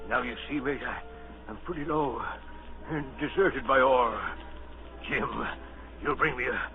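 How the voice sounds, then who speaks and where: An actor playing an ailing, fearful old sea captain in a radio drama, with rough sailor talk and pleading bluster. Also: male voice, mid-range voice, unhurried, natural voice. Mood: weak, pleading. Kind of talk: 1930s radio acting. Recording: dull old radio recording with music.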